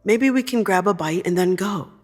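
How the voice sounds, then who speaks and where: A woman voicing an excited, evasive young female coworker with a light, offhand manner in audio fiction. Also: mid-range voice, normal speed, in a large hall, faint music behind, lightly acted. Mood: breezy, evasive. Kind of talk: acted dialogue in audio fiction.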